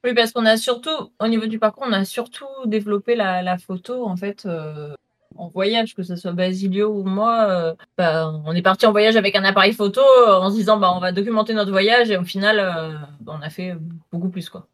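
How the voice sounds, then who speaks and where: A woman's voice: mid-range voice, a little fast. A young woman from southern France, a photographer answering interview questions in a chatty way with many fillers. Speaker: guest photographer. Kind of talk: casual interview talk.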